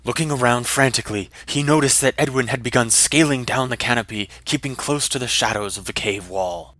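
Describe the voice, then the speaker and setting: A man's voice: mid-range voice, fairly quick, fairly bright tone, no echo. A man narrating a scripted fantasy story in a dramatic style.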